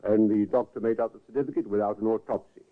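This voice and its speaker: A man's voice: on the low side, fairly even intonation, fast, natural voice. A man playing a courteous, probing police inspector. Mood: probing calm. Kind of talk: 1950s radio drama acting. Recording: tinny old radio broadcast.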